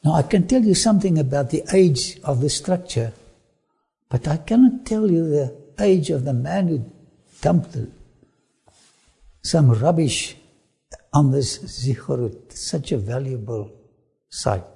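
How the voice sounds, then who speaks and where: A man with an Afrikaans accent, whose first language is Afrikaans, presenting a travel documentary with sermon-like asides, in slow, emphatic, drawn-out phrasing. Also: on the high side, lively intonation, gravelly, mellow, breathy edge.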